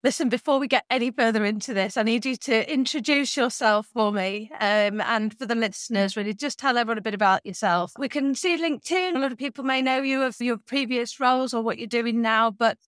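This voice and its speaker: A woman's voice: on the high side, fairly quick, few pauses, a bit bright, smooth, no echo, studio quality. A woman hosting a podcast, interviewing her guest in an eager, friendly way. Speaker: podcast host. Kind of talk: conversational interview.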